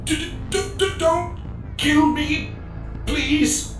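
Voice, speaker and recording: A man's voice: extremely high pitch, extremely slow, roomy sound, far from the mic, over music, voice pushed up, exaggerated voice. A man voicing a frightened, pleading artisan in an audiobook, breathless and stammering. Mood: terrified, pleading. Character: terrified, pleading male artisan, threatened at blade-point. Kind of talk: dramatic audiobook character voice.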